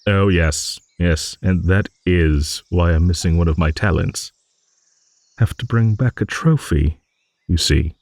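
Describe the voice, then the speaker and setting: A man's voice: low voice, measured pace, darkish tone, no echo. A man voicing an ancient, good-natured old dragon who rambles and muses in a fantasy audio roleplay. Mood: reminiscing. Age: older. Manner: rambling, musing, with hums and chuckles.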